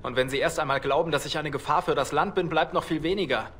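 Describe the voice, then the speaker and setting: A nervous outlaw from a fantasy game. He frets and complains with dry gloom in a fairly high-pitched voice. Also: fast, a bit bright. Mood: gloomy, wry. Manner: anxious, wryly complaining. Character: nervous male outlaw, fretful, fearful of hanging. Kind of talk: dramatic video game dialogue.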